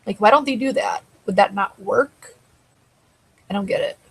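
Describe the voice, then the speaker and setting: Woman with a husky, gravelly voice. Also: mid-range voice, normal speed, poor audio. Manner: rambling, conversational, answers chat comments. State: dry throat, voice tiring. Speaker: live show host. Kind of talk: casual live-stream chat.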